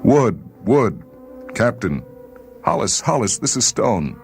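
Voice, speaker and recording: A man's voice: lowish voice, big pitch swings, slow pace, soft background music, voice pushed up, heavily acted. A man voicing alarmed, stranded spacemen who call out urgently to one another. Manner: urgent calling out. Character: stranded spacemen calling to each other, alarmed.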